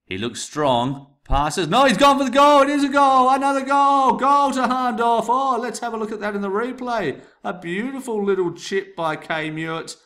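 A man voicing an excited sports commentator calling a goal with loud, drawn-out play-by-play. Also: extremely high pitch, voice pushed up, full character voice.

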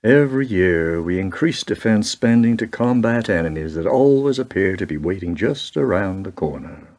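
A man acting in a radio play as a grave political strategist, brooding in measured, rhetorical phrases. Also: low voice, lively intonation, darkish tone.

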